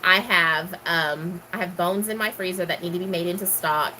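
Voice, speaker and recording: A woman's voice: on the low side, moderate pace, pushed and bright, a little hiss or hum, rough recording. A middle-aged woman from Texas, casually chatting and answering questions, rambling with many ums. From Houston, Texas. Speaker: gardening vlogger.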